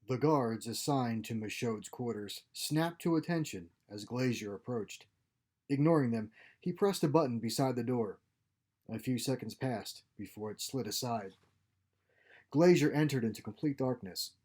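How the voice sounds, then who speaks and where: A man narrates a science fiction short story in a mellow, dark-edged voice at medium pitch. The delivery is scripted, like an author reading an audiobook. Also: lively intonation, moderate pace.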